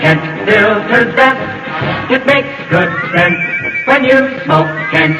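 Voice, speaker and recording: A man's voice: sits high, expressive, slow, rough recording. A man singing a vintage radio advertising jingle. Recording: vintage radio recording with music.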